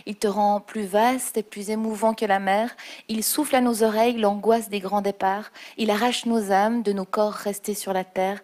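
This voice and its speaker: A woman's voice: mid-range voice, darkish tone. A woman hosting a live radio show, speaking with enthusiasm and reading a poem aloud. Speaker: radio host. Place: radio studio with live audience.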